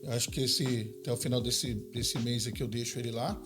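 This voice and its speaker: A man in his forties with a São Paulo accent, a pastor telling his life story in a relaxed, chatty podcast conversation, laughing easily. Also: medium pitch, a little fast, music in the background. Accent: Paulistano Brazilian Portuguese. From São Paulo, Brazil. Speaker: pastor and seminary director.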